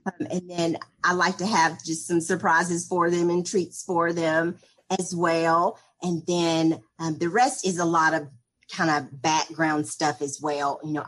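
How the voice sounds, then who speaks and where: A woman's voice: lowish voice, a bit bright. A woman, a spirit program coordinator, answering interview questions in a chatty, upbeat way with many fillers.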